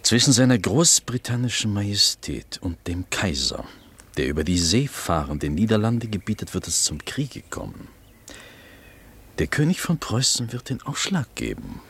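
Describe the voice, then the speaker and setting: An actor playing a sly, self-important Prussian court minister in a radio play, with formal, insinuating diction. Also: male voice, lowish voice, big pitch swings, normal speed, creaky, fairly bright tone, breathy edge, heavily acted. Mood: smooth, guarded. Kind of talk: German radio play acting.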